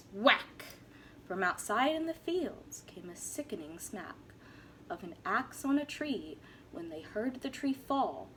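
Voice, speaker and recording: A woman teacher reading a rhyming picture book aloud in an animated, sing-song way. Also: on the high side, slight background noise, rough recording. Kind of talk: storybook read-aloud.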